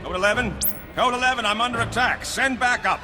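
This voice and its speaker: An actor playing a smug, taunting gambler and double agent in a video game, with a mocking, condescending way of talking. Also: male voice, very high-pitched, a little fast. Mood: urgent. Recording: long hall reverb under loud music. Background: loud game music.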